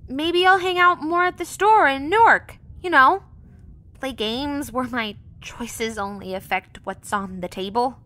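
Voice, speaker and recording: Audio drama girl: sincere and hesitant, bright, unhurried. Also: high-pitched. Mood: subdued. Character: earnest, hesitant girl, young research captain and gamer.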